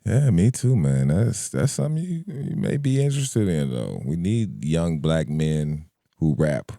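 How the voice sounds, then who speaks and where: Deep animated man, joking. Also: a little slow, gravelly, no room sound, studio quality. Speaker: podcast co-host. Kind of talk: casual podcast chat.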